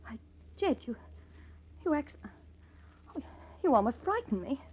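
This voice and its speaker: A woman's voice: sits high, very animated, unhurried, muffled recording. An actress in an old-time radio crime drama playing a man's familiar, inquisitive woman friend, with a coaxing way of talking. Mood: startled, hurt. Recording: thin, band-limited vintage radio recording.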